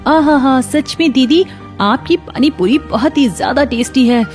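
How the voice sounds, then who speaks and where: A woman voicing a delighted young college-girl customer in a cartoon story, excited and gushing. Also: high-pitched, unhurried, faint music behind, pitched above the performer's own voice. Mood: delighted, curious.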